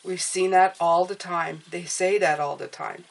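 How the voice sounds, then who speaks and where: A woman's voice: on the low side, fairly even intonation, a little slow. A woman giving a casual tarot reading who sounds stuffed up and nasal, speaking encouragingly and often checking in with "okay?". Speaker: tarot reader.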